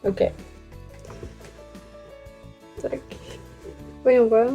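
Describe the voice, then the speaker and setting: A woman's voice: on the high side, steady tone, drawn-out words, vocal fry, dull audio, faint music behind. A woman chatting informally and with slang as she demonstrates motorcycle gear for a vlog. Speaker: motorcycle vlogger testing gear. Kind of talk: casual chat while demonstrating gear.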